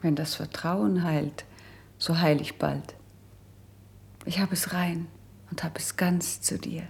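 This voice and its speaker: An actress playing a melancholy, resigned young princess who has been sickly since youth, in a classical verse radio drama, with a breathy, husky, hushed and measured delivery. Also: female voice, lowish voice, fairly even intonation, heavily acted. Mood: sorrowful longing.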